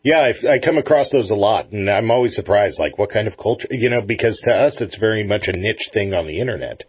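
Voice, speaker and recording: A man's voice: lowish voice, expressive, fast, breathy edge, lo-fi sound. A man chatting casually as the interviewer on a talk show. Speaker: interviewer, host.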